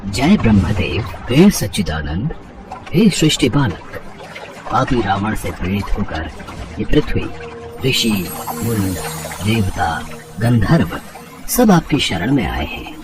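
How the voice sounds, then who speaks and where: A man playing a solemn god who entreats a higher lord with formal, reverent declamation in a mythological story. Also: big pitch swings, takes it slowly, pauses often, distant mic, noisy background. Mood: reverent, grave.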